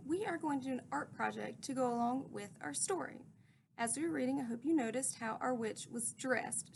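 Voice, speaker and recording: A woman's voice: sits high, gravelly, slight background noise. A woman teacher speaking to children, reading and explaining in a step-by-step, storytelling way. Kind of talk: children's read-aloud and instruction.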